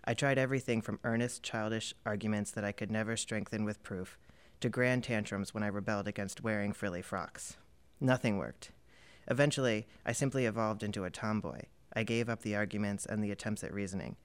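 Smooth, lower-voiced man reading calmly. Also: brisk. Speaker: reader. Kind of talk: first-person memoir reading.

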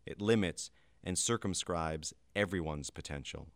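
Male reader, very deep voice, resonant, grave and deliberate, dry close recording. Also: no room sound. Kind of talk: scripted documentary reading.

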